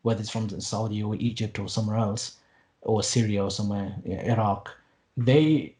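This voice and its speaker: Late 20s guy, dark mellow voice, rambly and earnest, keeps restarting sentences. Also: fairly low pitch, fairly even intonation, normal speed. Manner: rambling with frequent restarts and fillers, earnest, wry asides. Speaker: podcast guest, Arabic teacher. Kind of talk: casual conversational interview.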